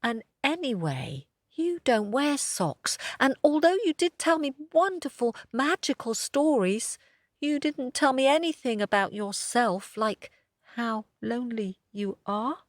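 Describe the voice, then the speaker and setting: A woman voicing Pip, a kind young female dog, talking earnestly and gently. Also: a little high, animated, unhurried, no room sound, clean studio sound, voice pushed up.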